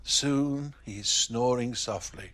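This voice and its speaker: A man reading a children's bedtime story in an expressive, measured way. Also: medium pitch, slight background noise.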